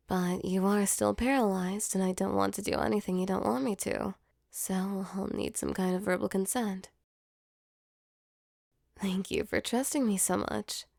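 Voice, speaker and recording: Woman playing a creaky-voiced intimate female demon. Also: medium pitch, darkish tone, no room sound, clean studio sound. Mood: tender, soothing. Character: female sleep paralysis demon, mock-menacing turned tender and flustered. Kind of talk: intimate ASMR roleplay audio drama.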